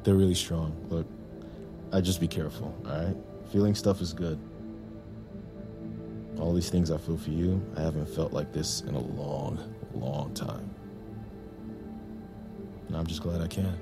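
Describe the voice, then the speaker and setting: A tender, earnest man in an intimate audio drama. His voice is very low with a heavy creak, and music plays behind him. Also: steady tone, unhurried, long pauses, heavily acted. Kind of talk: naturalistic audio drama acting.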